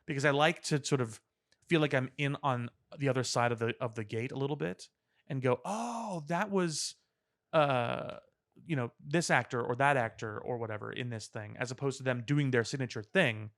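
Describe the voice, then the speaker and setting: A man co-hosting a podcast, talking pop culture casually in a measured, explanatory way with dry understatement. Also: medium pitch, a bit bright. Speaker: podcast co-host. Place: podcast studio.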